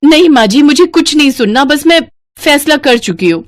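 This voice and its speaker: A woman's voice: high-pitched, animated, normal speed, pitched above the performer's own voice. A woman voicing a young, overworked newly married daughter-in-law in a cartoon story, earnest and easily fed up. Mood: fed up, insistent. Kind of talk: Hindi cartoon story dialogue.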